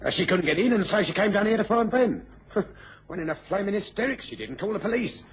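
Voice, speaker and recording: An actor with an English accent playing a chatty police constable in a radio drama, deferential, colloquial and hesitant. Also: male voice, sits high, animated, quick pace, slightly rough, exaggerated voice. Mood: deferential, helpful. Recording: noisy old radio recording.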